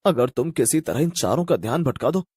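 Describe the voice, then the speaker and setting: A man's voice: mid-range voice, expressive, fairly quick, darkish tone, slightly rough, no echo, clean studio sound. A man voicing an earnest, worried young husband in a cartoon horror story. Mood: urgent planning.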